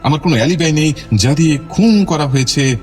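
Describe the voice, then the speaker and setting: A proud ageing-idol type of film star played by a male actor in an audio drama. He speaks in a measured, self-assured way with clipped irritation held in check. Music plays under the voice. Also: on the high side, expressive, darkish tone, lightly acted. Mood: coolly defiant. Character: proud veteran film star, a controlled, irritated murder suspect. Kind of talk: Bengali audio drama acting.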